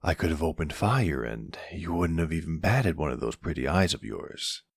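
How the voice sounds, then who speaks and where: Man playing a gravelly, very low-voiced mafia boss. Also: expressive, dry recording, studio quality, heavily acted. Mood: teasing, playful.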